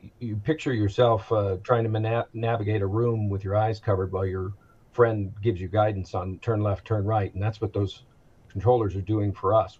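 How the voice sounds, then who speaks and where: American male expert guest, husky and measured, on slightly muffled audio. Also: lowish voice, darkish tone. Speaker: aviation executive, interview guest. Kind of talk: explanatory interview answers.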